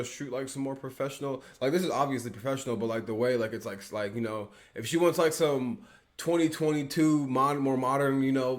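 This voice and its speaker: A man from the Midwest reacting to a music video in a casual review, rambling with lots of fillers and slang. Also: medium pitch. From Peoria, Illinois, US.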